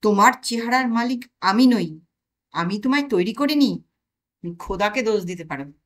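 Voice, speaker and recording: A woman's voice: mid-range voice, lively intonation, moderate pace, close to an everyday voice. A woman playing an exasperated, sarcastic protagonist of a comic story, arguing in an indignant, wry way. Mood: scornful. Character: exasperated, sarcastic narrator confronted by own conscience.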